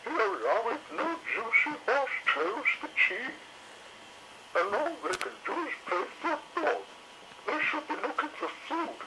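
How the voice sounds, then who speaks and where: Man putting on a shrill falsetto dinosaur mum, fussy, complaining, noisy recording. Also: big pitch swings, a little slow, exaggerated voice. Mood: grumbling. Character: fussy grumbling female dinosaur mother.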